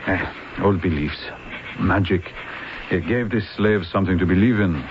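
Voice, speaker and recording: An actor playing a commanding, persistent police lieutenant in a radio drama, with a French accent and deliberate, formal phrasing. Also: male voice, low-pitched, close to an everyday voice. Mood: measured, explaining. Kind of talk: vintage radio drama acting. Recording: dull, noisy old radio recording.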